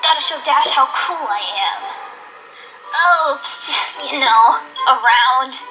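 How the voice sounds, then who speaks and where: A woman voicing a tough, cool female griffon with a hidden soft side, casual and guarded, in a cartoon audio drama. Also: high voice, takes it slowly, heavily acted, poor audio. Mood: cool, downcast. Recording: music behind the voices.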